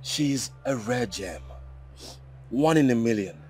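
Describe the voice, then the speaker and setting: A man's voice: mid-range voice, expressive, slow pace, darkish tone, slight background noise. An actor playing a young man who earnestly comforts a grieving mother. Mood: soothing. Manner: soothing, earnest.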